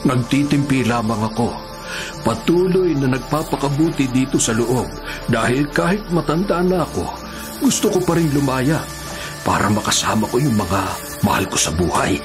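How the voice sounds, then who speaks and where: Actor as kindly old Filipino prisoner, music behind. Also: male voice, medium pitch, animated, lightly acted. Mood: earnest, pleading. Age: older. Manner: preachy, earnest, measured. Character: kindly, patient, devout elderly male prisoner giving counsel. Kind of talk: Filipino radio drama acting.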